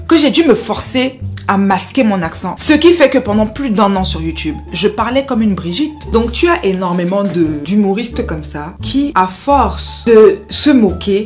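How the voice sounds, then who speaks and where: A woman from Cameroon with a Cameroonian French accent, speaking straight to her viewers in an impassioned vlog and repeating herself for emphasis. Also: medium pitch. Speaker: YouTuber.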